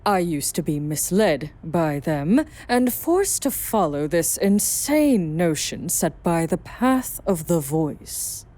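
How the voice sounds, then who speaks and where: Mellow, expressive female vampire played by an actress. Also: fairly low pitch, slow pace, hardly any pauses, heavily acted. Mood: guarded, confessional. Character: self-exiled female vampire sire, worldly, guarded, brooding. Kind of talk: audio drama acting.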